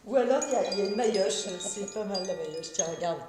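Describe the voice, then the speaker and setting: A woman hosting a live interview, playful and gentle in her questions. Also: mid-range voice, quick pace, heavy reverb, background noise. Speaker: host. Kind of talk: live-stream interview, casual.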